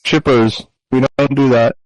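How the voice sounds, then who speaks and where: A man's voice: medium pitch, fairly even intonation, a little slow, a little mellow, no echo, rough recording. A man bantering casually with friends, joking crudely and swearing.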